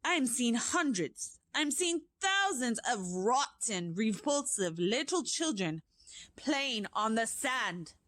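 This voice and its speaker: A woman's voice: a little high, very animated, dry recording, voice pushed up, heavily acted. A woman reading aloud and voicing a furious, tyrannical female witch leader who shrieks her orders and stretches out her words. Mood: shrieking rage. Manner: shrieking, loud, drawn-out words. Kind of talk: audiobook character voice.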